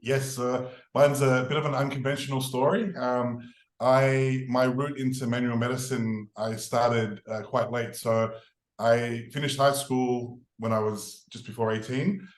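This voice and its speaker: This is a middle-aged man with an Australian accent, talking at an ordinary pitch. He tells his story in a relaxed, meandering way, full of fillers. The recording has a noticeable room echo. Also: unhurried. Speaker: guest. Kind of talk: podcast interview.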